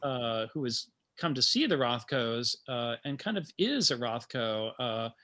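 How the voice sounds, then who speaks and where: Guy playwright chatting about how he writes, really bright voice, lots of halting thinking-out-loud. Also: medium pitch, expressive. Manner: halting, thoughtful, chuckling asides. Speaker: playwright and teacher, guest speaker. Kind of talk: informal talk about creative process.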